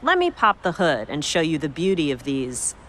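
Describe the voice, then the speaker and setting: A woman's voice: low voice, wildly swooping pitch, moderate pace, fairly bright tone, a little hiss or hum, heavily acted. A woman playing an eager, argumentative mechanic in a game cutscene, pushy and pleading. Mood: eager, persuading. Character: eager, argumentative female mechanic keen on modern cars. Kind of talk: video game cutscene acting.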